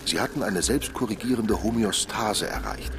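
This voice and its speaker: A man playing a learned, patient and pedantic teacher in a German radio drama, lecturing with deliberate, professorial emphasis. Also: fairly low pitch, expressive, creaky, over music. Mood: patient, didactic.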